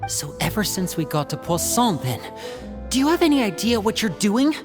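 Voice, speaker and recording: A young theatrical big brother type from a game, swingy expressive delivery, protective, music in back. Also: male voice, high voice, moderate pace. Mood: stern, incredulous. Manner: theatrical, probing, articulate. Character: smooth, protective teenage older brother, youthful male, probing. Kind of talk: video game voice acting.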